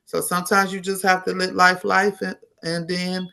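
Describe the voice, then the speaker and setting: Bright-voiced woman in her fifties. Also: lowish voice, little pitch movement, moderate pace, vocal fry. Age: 50s. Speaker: nurse educator guest. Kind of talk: casual interview conversation.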